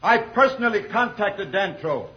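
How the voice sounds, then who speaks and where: An actor playing an earnest council member in an old radio serial, formal and imploring. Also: male voice, high voice, expressive, moderate pace. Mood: pleading. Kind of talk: 1950s radio serial acting. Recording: dull lo-fi old radio recording.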